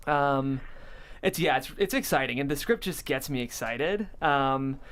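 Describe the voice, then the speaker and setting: A man's voice: medium pitch, animated, measured pace, a bit bright, a little hiss or hum. A man hosting a conversational interview, thoughtful and complimentary, choosing his phrasing with care. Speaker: host of a script table-read show.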